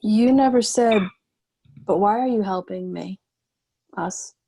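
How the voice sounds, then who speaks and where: A woman's voice: mid-range voice, slow, mellow. A woman reading the part of a grieving but resolute resistance leader in a screenplay table read, restrained and matter-of-fact. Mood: questioning. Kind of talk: screenplay table read, understated dramatic acting.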